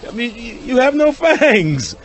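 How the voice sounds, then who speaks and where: A man's voice: very high voice, sing-song, a little slow, dull audio, exaggerated voice. An actor playing a frightened, disbelieving male doctor who stammers and pleads in a horror-comedy radio drama. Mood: nervous disbelief.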